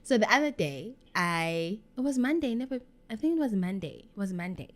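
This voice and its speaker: A woman's voice: mid-range voice, very animated, a little fast. A South African woman in her thirties, a guest telling her personal story in a candid conversation, acting out the voices of people she quotes. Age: 30s.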